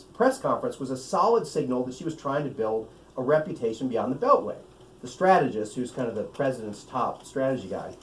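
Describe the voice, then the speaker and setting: An American man, an author reading his own novel to a live gathering with off-script asides, in an animated, wry way. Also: a little high. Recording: echoey room, distant mic, faint noise.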